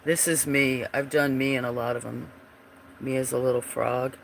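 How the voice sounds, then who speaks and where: A man's voice: medium pitch, level tone, normal speed, a little hiss or hum, rough recording. A man hosting a livestream, chatting casually about his drawings in a froggy voice, meandering and trailing off as he searches for pieces. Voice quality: froggy, gravelly voice. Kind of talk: casual rambling commentary.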